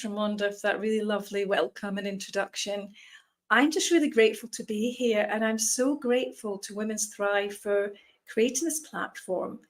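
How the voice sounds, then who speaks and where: Woman, Edinburgh Scottish accent, guest speaker, earnest, rhetorical questions, motivational talk. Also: mid-range voice. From Scotland. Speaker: guest speaker, meditation teacher.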